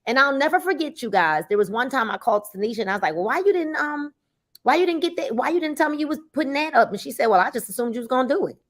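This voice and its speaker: High-voiced woman in her fifties, animated. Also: racing. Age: 50s. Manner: animated storyteller, acts out dialogue, colloquial. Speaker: network marketing mentor. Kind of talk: casual chat.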